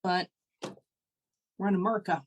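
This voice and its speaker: A woman co-hosting a casual podcast, chatting in a relaxed, dry way and reading question cards aloud. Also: on the low side, moderate pace. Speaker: podcast co-host.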